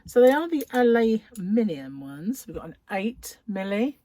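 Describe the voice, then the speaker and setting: A woman chatting casually to followers as a craft vlogger during an unboxing, gushing and admiring with breathy asides. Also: mid-range voice, sing-song, a little slow, warm tone, no echo. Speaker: craft vlogger and yarn shop owner. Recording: clear background noise with crinkling wrappers. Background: crinkling cellophane, clicks and rustling.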